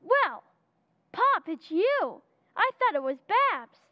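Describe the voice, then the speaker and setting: A woman's voice: extremely high pitch, very animated, slow, highs cut off, heavily acted. A woman playing a skeptical, wisecracking American boy in old-time radio comedy style, youthful and blunt with gee-whiz slang. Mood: matter-of-fact.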